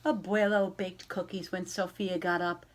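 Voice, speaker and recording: A woman reading a children's picture book aloud in an expressive storytelling style, addressing young listeners directly. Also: on the low side, slight background noise. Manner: animated, pausing to ask young listeners questions.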